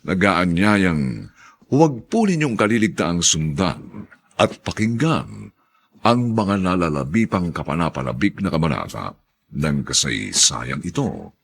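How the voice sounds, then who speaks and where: A man delivers scripted radio drama narration in a low, husky voice. His intonation rises and falls dramatically, and he takes his time with a slow, solemn, theatrical announcer's delivery. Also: a bit bright.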